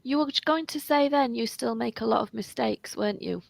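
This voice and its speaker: Gravelly-voiced British woman tutor. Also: on the high side, a little fast, dry recording. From United Kingdom. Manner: encouraging, emphatic, repeats words. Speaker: English tutor. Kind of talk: online tutoring conversation.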